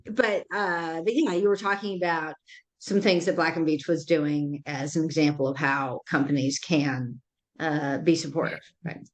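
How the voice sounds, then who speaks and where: A woman hosting an interview, asking long questions full of fillers and pauses. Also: deep voice, fairly even intonation. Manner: halting questions with many fillers. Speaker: interviewer, summit host. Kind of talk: conversational interview.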